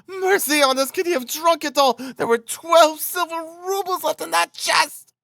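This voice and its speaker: A man's voice: very high voice, fairly even intonation, moderate pace, vocal fry, sharp tone, dry recording, studio quality, voice pushed up, exaggerated voice. A man voicing a frenzied, despairing poor wife in an audiobook, shrieking and accusing frantically. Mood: screaming despair. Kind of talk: audiobook character acting.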